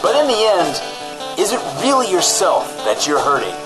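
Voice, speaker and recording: Man as sympathetic spooky commercial announcer, confiding sales tone, lively intonation over music. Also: high voice, normal speed, voice pushed up, low-quality recording. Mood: earnest pitch. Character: sympathetic vampire-addiction radio commercial pitchman. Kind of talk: parody radio ad read.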